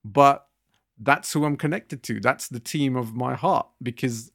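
A man's voice: mid-range voice, unhurried, creaky, a bit bright. A man in his thirties co-hosting a podcast, talking earnestly with many fillers and restarts. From Britain. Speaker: podcast co-host. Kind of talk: casual podcast discussion.

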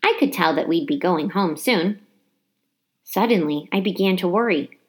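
A woman reading a children's storybook aloud with dramatic, animated delivery and emphasized words. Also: lowish voice, normal speed. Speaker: teacher reading a book aloud. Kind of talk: expressive children's storybook narration.

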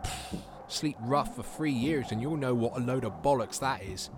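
A man playing a young rough sleeper, bitter and plain-talking, in an audio drama monologue. The voice is mid-pitched, with clear street noise behind it. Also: normal speed, lightly acted. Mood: bitter, resigned.

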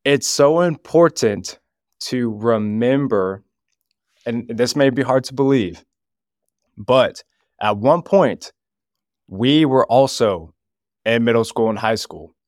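A man on a podcast talking about youth ministry, joking at times and speaking earnestly and deliberately, reading scripture aloud now and then. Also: mid-range voice, no room sound, studio quality.

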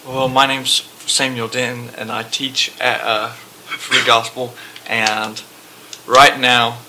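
A man from Indiana who teaches at a Bible school, introducing himself slowly. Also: medium pitch, little pitch movement, noisy background.

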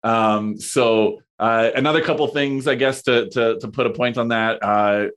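A man in his thirties, chatting as a podcast guest, talking in a halting way with lots of fillers and restarts. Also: medium pitch, steady tone, a bit bright, clear, resonant tone. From Canada. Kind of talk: casual conversation.

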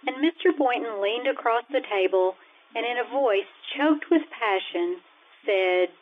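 A woman's voice: fairly high pitch, expressive, unhurried, slight background noise. A woman playing a wry, wisecracking schoolteacher with a dry, sardonic delivery in an old-time radio sitcom. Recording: tinny, band-limited radio-style recording.

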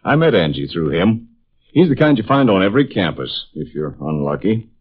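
An actor playing a hard-boiled, sarcastic college football coach, blunt and sardonic, in 1950s radio drama style. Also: male voice, low voice, sing-song, normal speed, breathy edge, heavily acted. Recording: tinny, band-limited vintage radio recording.

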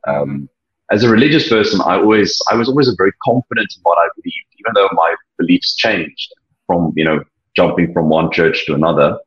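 Deep husky South African man, earnest. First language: Afrikaans. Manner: earnest, halting, many restarts. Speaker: interview guest. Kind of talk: personal testimony, reflective. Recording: dull lo-fi sound.

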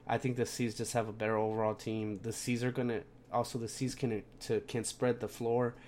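A man's voice: mid-range voice, level tone, a little fast, a little mellow, a little hiss or hum. A young man hosting a casual sports podcast, sounding plugged up from a cold and rambling with lots of fillers and restarts. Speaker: podcast host.

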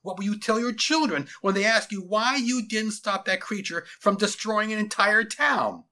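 A man's voice: high-pitched, normal speed, pushed and bright, pitched above the performer's own voice. A man voicing a domineering, zealous army general in a story, barking orders in a declamatory, commanding way. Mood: fierce, menacing.

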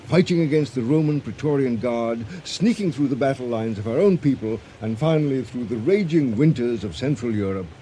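An actor playing a mild, courteous, gently amused man in a radio drama, speaking in a hesitant, kindly way. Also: medium pitch, a little fast, few pauses, lightly acted. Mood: curious, amused. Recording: noisy 1980 radio drama recording.

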